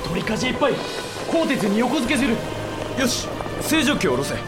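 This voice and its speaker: High-pitched warship officer barking orders. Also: male voice, very animated, normal speed, loud music behind the voice. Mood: rousing, commanding. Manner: forceful, crisp military commands. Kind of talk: dramatic period audio drama acting.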